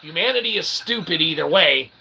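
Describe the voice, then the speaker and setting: A man's voice: a little high, lively intonation, fairly quick, vocal fry, sharp tone, a little hiss or hum, poor audio. A man delivering a rambling philosophical stream monologue, emphatic and wordy, with convoluted academic phrasing and folksy asides. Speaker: independent channel streamer.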